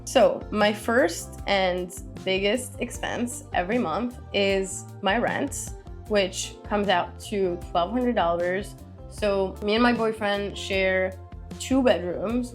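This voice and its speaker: A young woman with a slightly hoarse, raspy voice after being sick, chatting casually and upbeat to camera from home, with many fillers. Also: medium pitch. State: slightly hoarse from recent illness. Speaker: personal finance vlogger. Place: at home, seated with laptop and tea.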